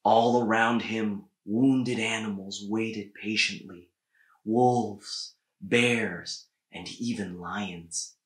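Male storybook narrator, thirties, lowish voice, deliberate phrasing, recorded in an echoey room. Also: fairly even intonation, fairly bright tone. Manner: measured, deliberate phrasing with pauses. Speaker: storybook reader. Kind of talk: read-aloud children's story narration. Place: small indoor room with bookshelves.

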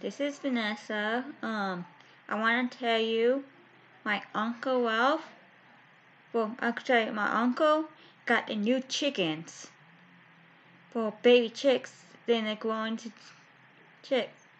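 Young woman rambling slow into a webcam, lots of ums, muffled lo-fi sound. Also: on the high side. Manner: halting, with many restarts and fillers. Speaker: vlogger. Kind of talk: casual chat to camera. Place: small bedroom with window blinds. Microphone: webcam at close range. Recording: dull lo-fi webcam audio.

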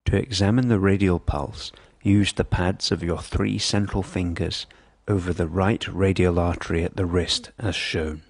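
A man reading scripted medical instruction in a measured, precise, impersonal manner. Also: low-pitched, steady tone, a little mellow, breathy edge, no room sound. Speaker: instructional narrator.

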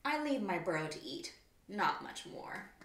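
A woman's voice: fairly low pitch, sing-song, a little slow, a bit bright. A woman voicing a grumpy, round male wombat, a curt and huffy loner in a children's storybook. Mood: grumpy, annoyed. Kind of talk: children's storybook read-aloud. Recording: roomy, echoing indoor room.

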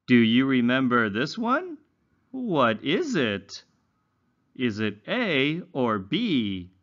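A man's voice: mid-range voice, huge pitch swings, takes it slowly, a bit bright, highs cut off. A man teaching young children in a read-along lesson, enunciating carefully with exaggerated, instructional intonation. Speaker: English teacher for young learners.

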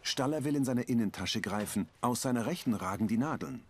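A man's voice: mid-range voice, brisk, a little hiss or hum. Man reading scene descriptions. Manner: plain, even reading of scene directions. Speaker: audio description narrator. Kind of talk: scripted narration.

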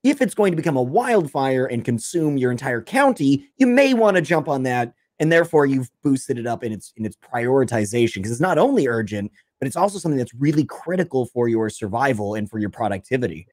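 A man's voice: mid-range voice, big pitch swings, a little fast, hardly any pauses, a little mellow, no echo. A man co-hosting a casual video call chat, making dry wry jokes. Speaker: co-host.

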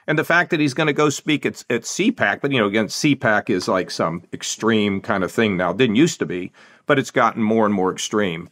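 A man's voice: mid-range voice, a bit bright. An older man hosts a casual political podcast. His mid-range voice wanders through loose sentences that he restarts and corrects. He chuckles between points. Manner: rambling, self-correcting, with chuckles. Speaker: podcast host.

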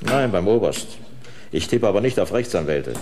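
An actor playing a brusque, teasing prison guard in a German radio crime drama. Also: male voice, fairly low pitch, sing-song, normal speed, a little mellow, a little hiss or hum.

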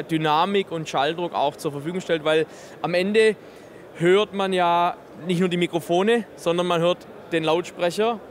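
A man in his thirties with a noticeably high voice presents a product as an exhibitor. He explains technical details with enthusiasm, speaking into a handheld interview microphone. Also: a little hiss or hum. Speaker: exhibitor presenting a loudspeaker system. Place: busy trade fair booth in a large hall. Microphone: handheld interview microphone held by interviewer.

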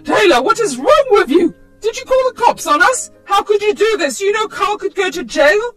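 Storytime role: woman as middle-aged mother, high, indignant, menacing, emphatic scolding. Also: expressive, moderate pace, a bit bright, faint music behind. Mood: outraged, alarmed. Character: outraged, shrill, threatening middle-aged mother.

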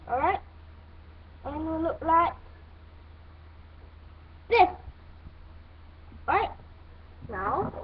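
A woman giving halting, step-by-step instructions in a hands-on toy building tutorial. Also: very high voice. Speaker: toy review host. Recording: muffled phone-like audio, clear background noise.

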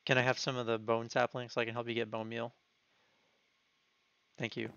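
A young man speedrunning a game, chatting quickly and giving practical directions to his teammate. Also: mid-range voice, completely flat delivery, a bit bright, clear, resonant tone, no room sound. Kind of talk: casual gaming chat with teammate. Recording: slightly dull mic with faint noise.

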